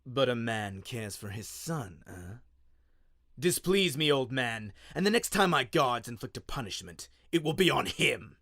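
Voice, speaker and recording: A man voicing a cold, cruel king with a slow, menacing, imperious manner in a mythic audio story. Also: mid-range voice, expressive, vocal fry, sharp tone, heavily acted. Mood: threatening.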